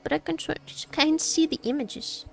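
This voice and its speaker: A woman's voice: high voice, moderate pace, vocal fry. A woman giving casual, playful game commentary to her chat, often repeating words and phrases. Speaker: game livestreamer. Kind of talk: casual reaction commentary to chat while gaming. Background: faint game music.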